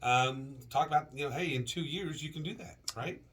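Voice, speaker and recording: A man co-hosting a casual radio show, a little sick with a deeper voice, rambling and repeating words. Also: brisk, fairly bright tone.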